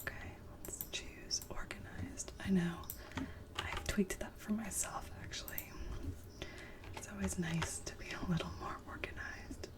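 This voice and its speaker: A woman whispers softly in a breathy, gentle voice. She plays a telehealth clinician in an ASMR roleplay, murmuring in an unhurried, soothing clinical way. Her voice sits slightly away from the microphone. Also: on the low side, steady tone, recorded from a distance. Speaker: ASMR performer playing a telehealth doctor.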